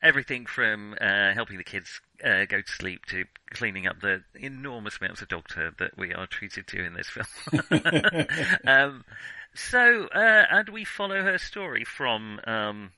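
A man co-hosting a film podcast, thinking aloud in a halting, digressive way as he casually reviews what he has watched. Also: lowish voice, lively intonation, bright, forceful tone, no echo, low-quality recording.

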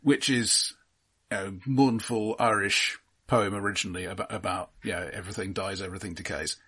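A man co-hosting a film podcast, casually explaining his observations with halting, repeated starts. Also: lowish voice, fairly even intonation, a bit bright, slightly rough.

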